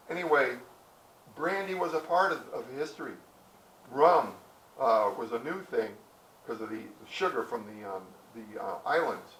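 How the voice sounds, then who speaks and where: This is a middle-aged man, speaking in a relaxed, rambling way as he presents to listeners. His voice sits in an ordinary middle pitch and comes from some distance off the mic. The sound is roomy, with a faint wash of outdoor noise behind him. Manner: rambling, folksy, many restarts. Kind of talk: casual storytelling talk to a small audience. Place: outdoor historic site with listeners.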